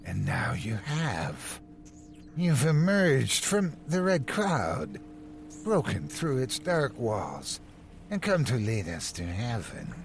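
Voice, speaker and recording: Horror audio story: unhurried, creaky alien zealot voiced by a man, preaching with gentle, reverent fervour, faint noise behind. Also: medium pitch, sing-song, full character voice. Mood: awed, reverent. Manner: slow, dramatic, fervent preaching delivery. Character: reverent alien male cult devotee, bald, eerily gentle zealot.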